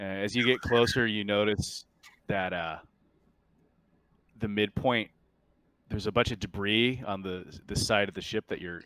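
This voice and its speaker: A man with a fairly low voice runs a tabletop roleplaying game. He narrates casually and conversationally, halting as he improvises each detail. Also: fairly bright tone. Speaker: game master.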